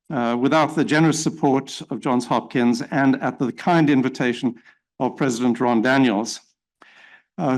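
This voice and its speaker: Middle-aged South African man, formal opening remarks, measured pace, many fillers. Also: mid-range voice, low-quality recording. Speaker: symposium chair. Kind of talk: formal welcome speech.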